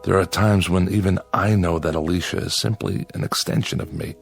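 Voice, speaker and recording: A man's voice: deep voice, brisk, creaky, soft background music. An actor playing a lonely man devoted to his android companion, by turns yearning and defensive, in dramatic radio style. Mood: wistful, confessional. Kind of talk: dramatic radio acting with confessional narration.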